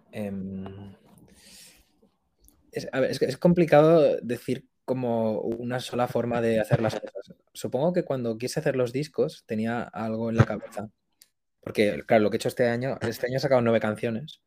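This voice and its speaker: A young man chatting casually as an interview guest, speaking colloquially and haltingly as he thinks aloud. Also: medium pitch, warm tone, a little hiss or hum. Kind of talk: casual chat.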